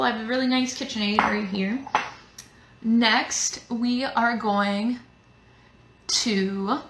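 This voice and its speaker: A woman's voice: fairly high pitch, creaky, a bit bright. A young woman hosting a casual live cooking demo from a home kitchen, chatty and rambling with lots of fillers. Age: young adult. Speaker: museum cooking show host. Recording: echoey kitchen with background noise.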